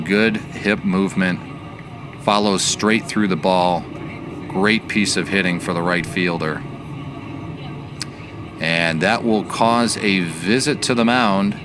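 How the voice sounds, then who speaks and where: A man giving baseball play-by-play commentary as the announcer, measured and analytical in his delivery. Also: sits low, background noise.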